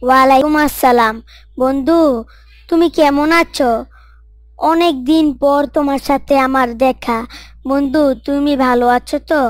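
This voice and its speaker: A woman's voice: high-pitched, fairly even intonation, measured pace, long pauses, dry recording. A woman voicing an eager young man. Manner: eager, friendly. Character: cheerful young man home for the holiday.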